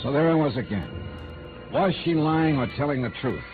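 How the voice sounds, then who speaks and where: A tinny vintage radio recording with music behind carries a wry, hard-boiled investigator acted by a man. He is suspicious and sardonic, speaking in clipped phrases with a very lively pitch, in 1950s noir style. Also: moderate pace, natural voice. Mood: brooding narration. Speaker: lead actor and narrator.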